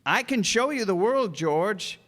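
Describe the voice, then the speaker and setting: A man playing a gentle, guileless elderly guardian angel in a radio drama, courtly and fussy in an old-fashioned way. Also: high-pitched, expressive, unhurried, exaggerated voice. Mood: excited, wondrous. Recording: echoey stage hall, long reverb.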